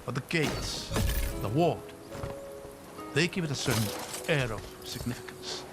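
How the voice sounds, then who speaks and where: An actor playing a thoughtful, kindly older scholar-adventurer in a video game, articulate and wry. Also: male voice, medium pitch, expressive, a little slow, natural voice. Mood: intrigued, wistful. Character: learned, kindly older male scholar-adventurer, wistful about history. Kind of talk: video game cutscene acting. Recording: loud game score behind. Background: music.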